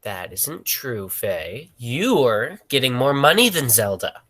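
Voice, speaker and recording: A man's voice: medium pitch, very animated, takes it slowly, fairly bright tone, full character voice. A man playing an exasperated circus manager in a 1940s-style radio play. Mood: placating.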